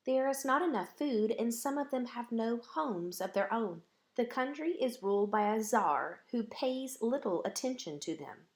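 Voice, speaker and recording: A woman voicing a seasoned male high-wire performer in a storybook, a patient and earnest teacher who speaks in instructive declarations. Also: lively intonation, measured pace. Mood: grave.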